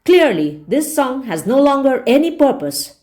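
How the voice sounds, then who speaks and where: A woman's voice: sits high, big pitch swings, normal speed, mellow, pitched above the performer's own voice. A woman voicing an officious male propagandist pig in a storybook, stiff, declamatory and self-important. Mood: stiff, declaring.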